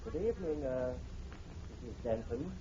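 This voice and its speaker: An actor playing a calm, evasive doctor with measured, polite speech in a vintage radio drama. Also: male voice, medium pitch, close to an everyday voice. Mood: courteous. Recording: dull, noisy old radio recording.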